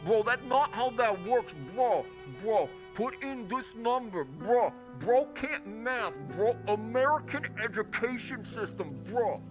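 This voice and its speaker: A man acts out a mocking online heckler in a strained falsetto. The voice is extremely high and drags its words out slowly, jeering at someone as dim. Music plays clearly behind it. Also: expressive, exaggerated voice. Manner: jeering, repeats "bro". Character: mocking chat viewer whining about bad math.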